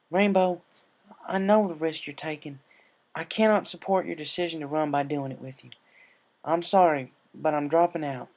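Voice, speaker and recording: Male reader as cartoon farm pony mare, folksy, stubborn, caring, higher-pitched voice. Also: darkish tone, natural voice. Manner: folksy country phrasing.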